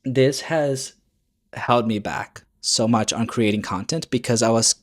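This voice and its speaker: Young guy vlogging, english is his second language, average pitch, earnest and encouraging, keeps restarting words. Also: measured pace. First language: not English. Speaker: YouTuber. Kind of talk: casual advice vlog to camera.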